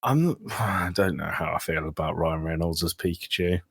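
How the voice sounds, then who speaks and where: A man's voice: low voice, moderate pace, creaky, fairly bright tone, breathy edge, dry recording, clean studio sound. A man co-hosting a podcast, giving blunt opinions in a casual, slightly hesitant chat. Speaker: podcast co-host.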